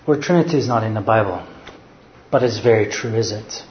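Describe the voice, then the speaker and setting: A man's voice: lowish voice, brisk, reverberant, muffled recording, slight background noise. A man from Serbia with a Serbian accent, a pastor preaching a sermon and making his points with rhetorical questions. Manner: earnest teaching, rhetorical questions, answers his own questions.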